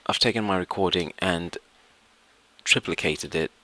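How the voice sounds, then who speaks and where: A man narrating an informal software tutorial step by step, hesitating and repeating himself as he goes. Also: low voice, normal speed, slight background noise. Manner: hesitant, repetitive, explains step by step. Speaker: tutorial presenter.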